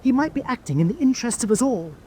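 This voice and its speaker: A cautious, conscientious submarine lieutenant. He talks fast with extremely mobile intonation, protesting nervously in a radio drama over faint noise. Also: extremely high pitch, very animated, dark tone. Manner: hesitant, reasoning, protesting. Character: anxious, dutiful young-sounding U-boat lieutenant, hesitant to mutiny.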